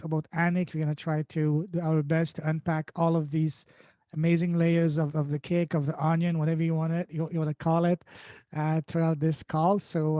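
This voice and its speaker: A male podcast host who grew up speaking French, with a fairly high but narrow-ranging voice. He sounds effusive and often repeats words as he introduces and interviews. The sound is close and dry. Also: darkish tone, no echo.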